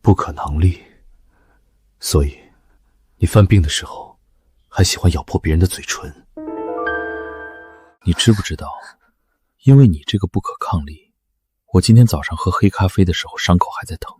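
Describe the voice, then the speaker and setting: An actor playing a cool, domineering young company boss with a deadpan, sarcastic and controlled manner in an animated drama. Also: male voice, low voice, moderate pace, pauses often, music in the background. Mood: low, accusing. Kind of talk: animated short drama dialogue.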